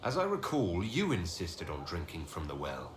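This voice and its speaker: A skeptical heroic leader in a fantasy game. His voice is fairly low and very expressive in pitch, direct and probing. It sounds echoey, with noise behind it. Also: normal speed. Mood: skeptical, questioning. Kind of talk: dramatic video game dialogue. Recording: distant, echoey, noisy game scene capture.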